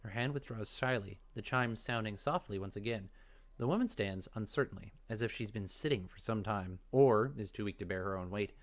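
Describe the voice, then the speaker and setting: A man reading fantasy game text aloud as dramatic narration, in a measured, expressive storytelling manner. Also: lowish voice. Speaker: let's play host.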